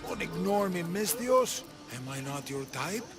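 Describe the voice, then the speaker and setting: Game casting: high-voiced, slow, boozy Greek flirt, boisterous and slurring, music behind. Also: male voice, lively intonation. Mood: teasing. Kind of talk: video game character acting.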